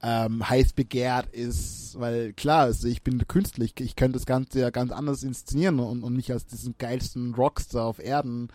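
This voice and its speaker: A musician, answering interview questions in a reflective way, with many restarts and fillers. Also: male voice, mid-range voice, steady tone, normal speed, hardly any pauses, a little mellow, dry recording. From Austria. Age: 30s.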